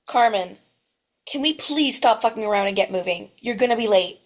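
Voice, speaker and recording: A woman's voice: mid-range voice, expressive, moderate pace, close to an everyday voice. A woman reading a frazzled, foul-mouthed mother who barks orders while running late. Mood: harried. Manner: harried, barking orders.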